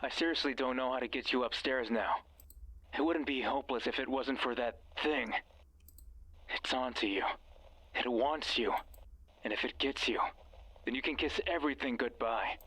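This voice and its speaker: A man's voice: medium pitch, moderate pace, pauses often, bright, forceful tone, husky. An actor playing a mysterious, bossy male guide in a horror game, stern, ominous and condescending. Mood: scornful, alarmed. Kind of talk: horror visual novel voice acting.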